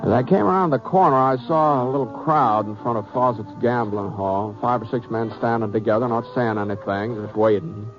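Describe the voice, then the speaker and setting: A man's voice: mid-range voice, fairly quick, few pauses, over music, natural voice. Man playing an easygoing cowboy, muffled old radio. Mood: tense, grave. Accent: American. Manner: folksy, laconic Western drawl. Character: easygoing, plain-spoken cowboy who narrates his own tale. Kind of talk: old-time radio western acting and narration. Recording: muffled vintage radio recording.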